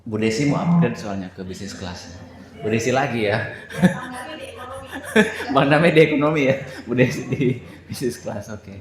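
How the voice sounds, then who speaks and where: A man with an Indonesian accent casually moderating a group question session, teasing and quick to chuckle. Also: medium pitch, crowd noise. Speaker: moderator of a tour briefing. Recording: echoey room pickup.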